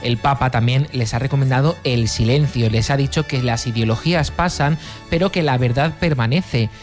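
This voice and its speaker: A man of middle pitch reads a news package in a steady, measured way. Soft music runs faintly underneath. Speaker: field reporter. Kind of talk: news report voice-over.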